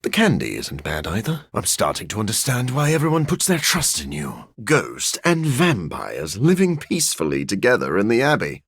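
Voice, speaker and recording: An actor voicing a brooding, dryly witty gentleman vampire scientist in a video game, with a formal, measured, theatrical delivery. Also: on the low side, wildly swooping pitch, husky, no echo, studio quality, exaggerated voice. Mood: wry, reflective. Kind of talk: scripted video game character lines.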